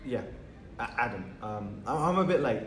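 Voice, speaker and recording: A man's voice: mid-range voice, expressive, fairly quick, natural voice. A man reading the part of an awkward, insecure, hesitant teenage schoolboy in a stage play table read. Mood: nervous, stammering. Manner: hesitant, stammering, nervous. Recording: roomy distant mic, faint noise.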